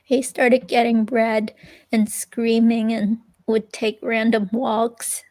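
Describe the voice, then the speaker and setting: A woman playing a hesitant, uneasy young ex-girlfriend giving a police statement in a dramatic transcript reading, halting and full of fillers. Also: on the high side, little pitch movement, a little mellow. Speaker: reader playing an interviewee.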